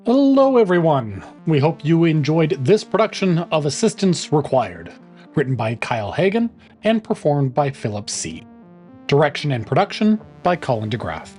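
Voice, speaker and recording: A man presents a scripted closing announcement. His voice is on the high side and very lively in pitch, with faint guitar music underneath. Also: normal speed.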